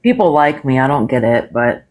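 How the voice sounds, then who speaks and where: A woman with a deep, smooth voice and a country twang, a guest talking candidly and swearing freely in a casual live chat. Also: normal speed. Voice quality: deep voice with bass; smooth, calming. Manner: candid, frequent swearing and laughter.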